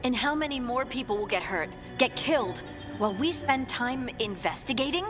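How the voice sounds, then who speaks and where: A woman's voice: fairly high pitch, animated, normal speed, lightly acted. A woman voicing an earnest dwarf woman scout who presses hard to act, in game dialogue. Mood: urgent. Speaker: party companion. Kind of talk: video game voice acting. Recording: game dialogue over clear music.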